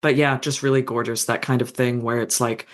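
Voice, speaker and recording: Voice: medium pitch, level tone, fairly quick. A fanfiction author in a casual podcast conversation, thoughtful and meandering, with frequent fillers and restarts.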